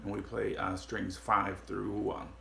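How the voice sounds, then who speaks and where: Slow-talking man with a gravelly deep voice. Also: monotone. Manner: slow, halting explanations with many fillers. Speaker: guitar teacher. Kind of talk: casual guitar lesson. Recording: echoey room with guitar playing.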